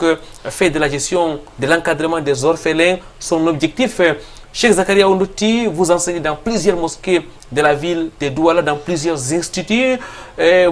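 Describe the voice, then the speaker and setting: Man, somewhat high voice, show host, from Cameroon, formal respectful questions, emphatic.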